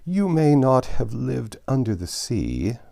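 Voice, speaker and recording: A man acts out a melancholy turtle creature from a children's storybook. The voice is lifted well above a low natural register and swoops widely, with wistful, emphatic, drawn-out phrases. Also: big pitch swings, gravelly, mellow, heavily acted. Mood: solemn, wistful. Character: melancholy, wistful old turtle creature, excitable when reminiscing. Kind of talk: storybook character reading.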